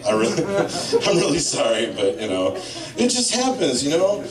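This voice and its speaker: A man's voice: on the high side, moderate pace, a crowd in the background. A man in his thirties doing a profane, rambling stand-up set in a small bar, on a handheld mic filmed from a distance. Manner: profane, rambling storytelling with lively impressions. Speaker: stand-up comedian. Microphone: handheld mic, filmed by a far camera. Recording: echoey bar room, camera far off.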